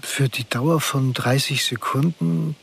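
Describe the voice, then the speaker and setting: An actor playing a subdued middle-aged father in a radio drama, recalling his son in halting, reflective, measured phrases full of remorse. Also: medium pitch, vocal fry, a bit bright, husky, heavily acted. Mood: subdued, remorseful. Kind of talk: radio drama monologue, introspective narration.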